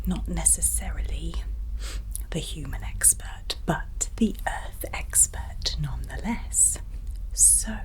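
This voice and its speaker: A woman performs ASMR in a soft, breathy whisper, slightly low for a woman. She speaks very slowly and gently in short, halting phrases, playing a friendly, eager alien who is a little muddled about Earth. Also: poor audio. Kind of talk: whispered ASMR roleplay, personal attention.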